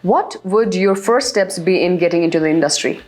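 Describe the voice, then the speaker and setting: A woman hosting an interview, reading brisk, scripted questions. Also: fairly low pitch, a little mellow. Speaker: host.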